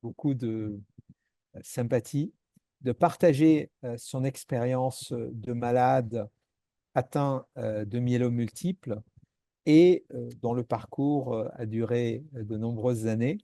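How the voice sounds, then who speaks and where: A man's voice: medium pitch, takes it slowly, dark tone, dry recording. A middle-aged man hosting and moderating a medical webinar, speaking with frequent hesitations and fillers. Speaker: host and moderator.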